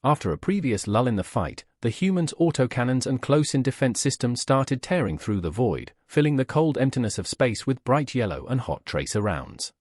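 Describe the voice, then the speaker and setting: Husky male story narrator, dry studio sound. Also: mid-range voice, very animated, moderate pace, few pauses, darkish tone, no room sound. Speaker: audiobook narrator.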